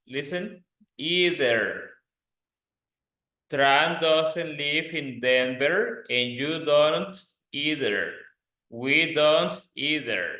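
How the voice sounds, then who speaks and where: Guy teaching english grammar, bright forceful voice, super slow, repeats stuff. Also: on the high side. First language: Spanish. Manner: deliberate, instructive, repeats phrases. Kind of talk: grammar lesson, reading examples aloud. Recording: echoey, roomy sound.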